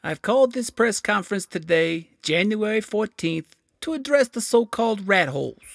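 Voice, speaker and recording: Breezy dismissive mayor, played by an actor, audio drama press conference, very animated pitch, dry sound. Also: moderate pace, creaky, dry recording. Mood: placating, dismissive. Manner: breezy, brushing off concern.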